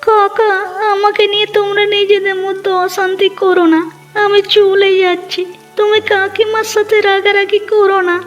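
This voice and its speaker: A woman's voice: very high voice, flat delivery, normal speed, highs cut off, soft background music. A woman voicing a meek young orphan girl in a cartoon, timid and pleading. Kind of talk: Bengali cartoon dubbing.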